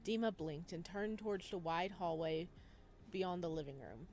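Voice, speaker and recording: A woman hosting a visual novel let's play, playful and expressive as she chats and reads aloud. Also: lowish voice, normal speed. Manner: playful, expressive reactions, addresses viewers. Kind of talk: visual novel playthrough, chatty commentary and read-aloud narration.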